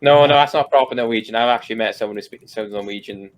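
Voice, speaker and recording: A man's voice: lowish voice, quick pace. Stammering Welsh man bantering. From Wales. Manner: stammering, restarts words in bursts. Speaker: co-host. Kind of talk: casual group banter.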